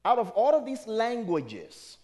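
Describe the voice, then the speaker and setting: Male spiritual speaker, Liberian accent and native dialect speaker. Fairly high, very lively pitch, emphatic motivational sermon delivery. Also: unhurried. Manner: emphatic, rhetorical, questioning the audience. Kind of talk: motivational sermon to a live audience.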